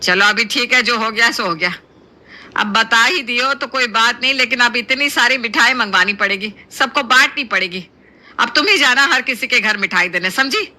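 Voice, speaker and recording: A woman's voice: a little high, moderate pace, pushed and bright. A woman voicing a middle-aged Indian mother in a cartoon story, scolding and placating with exclamations. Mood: resigned scolding. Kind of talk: Hindi cartoon story voice acting.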